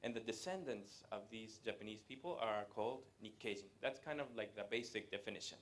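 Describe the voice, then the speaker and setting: A man, giving a live presentation to an audience in a conversational way, pausing often to hesitate. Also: lowish voice, fairly bright tone. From Latin America. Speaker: guest speaker. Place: event room with a live audience.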